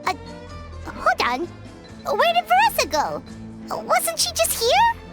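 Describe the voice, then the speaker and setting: A woman voicing a small, perky, childlike fairy companion with a squeaky voice in an anime game. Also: huge pitch swings, takes it slowly, exaggerated voice. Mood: curious, chatty. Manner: exclamatory, refers to self in third person. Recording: music behind the voice.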